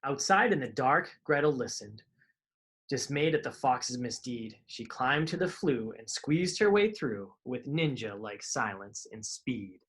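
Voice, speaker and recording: A man's voice: medium pitch, expressive, measured pace, fairly bright tone, breathy edge. Man reading picture books aloud to kids. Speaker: karate instructor reading children's books aloud. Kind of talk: live storytime read-aloud to children.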